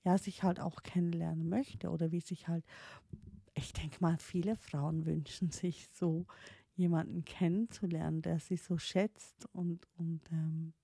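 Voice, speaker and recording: Swiss-accented woman in her 30s, not a native german speaker, low mellow gravelly voice, stops and restarts a lot, dry close mic. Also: level tone, unhurried, dry recording. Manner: hesitant, many fillers and restarts, thinking aloud. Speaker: streamer. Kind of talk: reflective personal storytelling.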